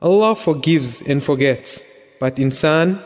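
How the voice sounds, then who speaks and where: A man's voice: on the high side, a little slow, long reverb tail, natural voice. A young man playing a teenage son who also narrates the story in a reflective tone. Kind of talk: audio drama acting and narration.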